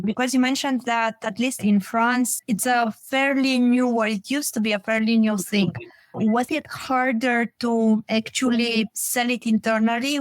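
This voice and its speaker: A woman's voice: on the high side, no echo, low-quality recording. A woman hosting a podcast, speaking as an interviewer. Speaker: podcast host.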